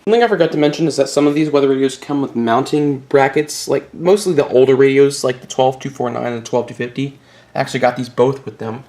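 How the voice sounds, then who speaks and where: A man's voice: medium pitch, fast, dark tone. A man giving a casual, unscripted show-and-tell as a hobbyist video host, rambling a bit and correcting himself with mild enthusiasm.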